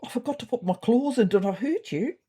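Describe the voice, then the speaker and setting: A woman's voice: on the high side, expressive, brisk, warm tone, slightly rough, voice pushed up. A woman voicing a brash, careless male cat in a comic story, with a casual, confident, bossy manner. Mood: apologetic.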